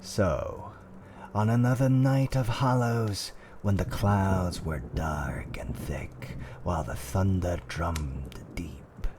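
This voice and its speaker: A man playing a fantasy tavern owner, telling dark fireside tales in a hushed, dramatic roleplay ASMR style that often drops toward a whisper. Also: sits low, background noise, heavily acted. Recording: faint background ambience.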